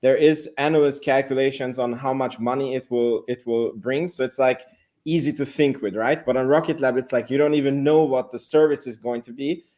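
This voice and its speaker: Male analyst guest, mid-pitched, conversational and unscripted, halting delivery with repeated words while reasoning. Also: steady tone, mellow. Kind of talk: casual discussion.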